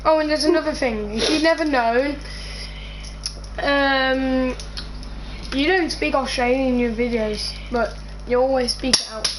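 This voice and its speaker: High-pitched hesitant woman, noisy mix. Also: measured pace. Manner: hesitant, full of fillers and restarts. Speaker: co-host asking questions. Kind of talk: casual chat.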